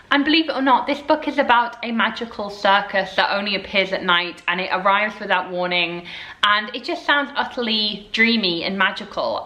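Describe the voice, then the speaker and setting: A woman's voice: medium pitch, bright, forceful tone. A woman in her twenties chatting casually to camera as a book vlogger, rambling with lots of fillers. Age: 20s. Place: indoors at home, in front of bookshelves. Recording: echoey room.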